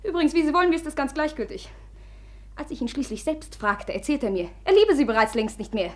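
A woman's voice: high voice, animated, quick pace, a little mellow. An actress playing a proud, agitated young noblewoman in a classic radio drama, speaking in a commanding, formal, accusatory way. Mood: accusing, bitter.

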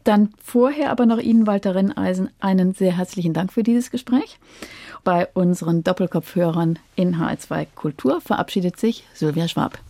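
A woman with a soft, dark tone hosts a radio interview. She asks her questions in a measured, polite, articulate way, recorded close and dry in a studio. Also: fairly low pitch, creaky, no echo.

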